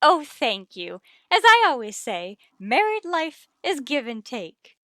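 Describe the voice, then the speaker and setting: An actress playing a middle-aged, breezily bossy wife and gushing hostess in a vintage radio comedy. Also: female voice, high-pitched, very animated, takes it slowly, bright, forceful tone, dry recording, exaggerated voice. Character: chirpy, managing middle-aged wife of the principal.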